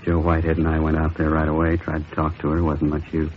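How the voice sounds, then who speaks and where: An actor playing a weary, middle-aged veteran police captain in an old radio drama, terse and subdued but sympathetic. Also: male voice, extremely low pitch, monotone, fast. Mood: grieving, subdued. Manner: terse, subdued, clipped sentences. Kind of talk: 1950s radio acting. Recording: tinny, hissy old radio recording.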